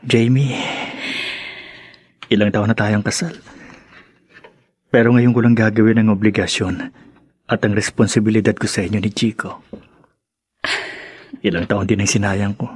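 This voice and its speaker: A man playing a remorseful, loving husband and father in a Filipino radio drama, speaking earnestly and tenderly with a husky voice. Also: fairly low pitch, flat delivery, moderate pace, pauses often, darkish tone. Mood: remorseful, grateful.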